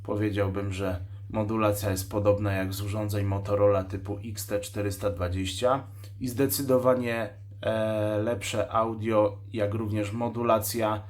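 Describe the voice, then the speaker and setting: A man hosting an unscripted product demonstration, explaining a device's specs in a matter-of-fact, technical way and pausing mid-sentence while he handles it. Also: lowish voice, level tone, a little mellow. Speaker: radio shop host reviewing a walkie-talkie.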